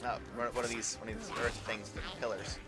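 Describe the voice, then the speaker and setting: A man doing casual live gameplay commentary as a streamer, halting and repeating words as he thinks aloud. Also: on the low side, flat delivery. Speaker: game streamer. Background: game music.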